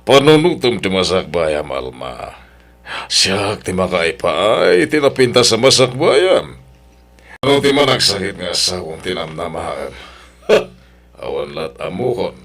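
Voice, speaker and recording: An Ilocano man acting a boastful, insistent suitor tempting a married woman in an audio drama, with a raspy, gravelly voice. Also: sits low, sing-song, a little slow, a bit bright, exaggerated voice. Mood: persuasive, scornful.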